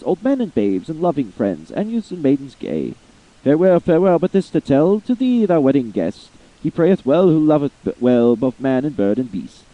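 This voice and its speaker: A man's voice: mid-range voice, phone-quality sound. A man giving a theatrical reading of an old narrative poem as voice-acting practice. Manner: theatrical, swooping delivery of verse. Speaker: amateur reader. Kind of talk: dramatic poetry reading.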